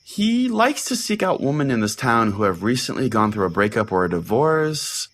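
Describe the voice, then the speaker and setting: A man playing a deadpan, dejected small-town pastor who hosts a comedic fiction podcast in a rambling, exasperated conversational way. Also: mid-range voice, moderate pace. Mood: matter-of-fact. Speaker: podcast host.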